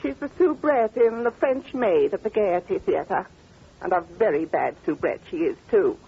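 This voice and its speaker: An old lo-fi radio recording of a sardonic British duchess who once trod the music-hall stage. Her husky voice moves freely up and down as she speaks with imperious, theatrical assurance and mocking amusement. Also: medium pitch, animated, moderate pace, full character voice. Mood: scornful, sure. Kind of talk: old-time radio drama acting.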